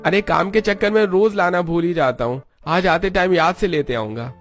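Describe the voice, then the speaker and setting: A man's voice: high voice, moderate pace, faint music behind, pitched above the performer's own voice, natural voice. A man voicing a poor, hardworking family man in a cartoon story. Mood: apologetic. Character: poor hardworking husband and father, plain-spoken.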